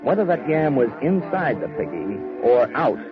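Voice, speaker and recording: A man's voice: medium pitch, moderate pace, natural voice. An actor playing a wry, breezy male insurance investigator, narrating in 1940s radio detective style. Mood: wry narration. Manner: wry, deadpan humour. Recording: dull old radio recording with music.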